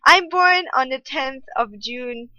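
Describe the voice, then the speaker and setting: A woman's voice: high-pitched, expressive, slow pace, sharp tone, no echo, dull audio. A woman in her twenties from Austria, a German native speaker with an Austrian accent, chatting casually and self-mockingly to camera as a streamer. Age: 20s. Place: home room at night.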